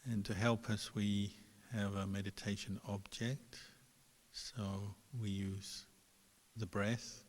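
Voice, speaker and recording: Slow, even-voiced man guiding meditation. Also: on the low side. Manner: calm, unhurried, gentle pauses between phrases. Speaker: Buddhist monk, meditation teacher. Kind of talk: guided meditation instruction. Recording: long hall reverb.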